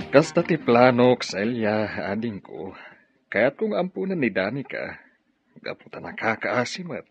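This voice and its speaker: A man's voice: medium pitch, sing-song, unhurried, faint music behind. A man with a Filipino accent acting a kindly, fatherly middle-aged bachelor in an Ilocano radio drama. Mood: earnest, caring. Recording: dry, dull lo-fi radio drama audio.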